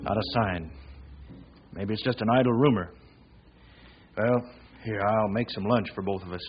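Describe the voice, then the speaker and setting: An actor playing a protective, steady pioneer rancher father with folksy frontier speech in an old radio western. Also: on the low side, normal speed, natural voice. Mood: calm, firm. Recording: dull old radio recording, crowd noise behind.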